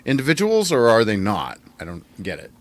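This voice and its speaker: A man's voice: on the low side, wildly swooping pitch, fairly quick. A man co-hosting a podcast, casually puzzling things out in a questioning way. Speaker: podcast co-host. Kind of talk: casual discussion and review.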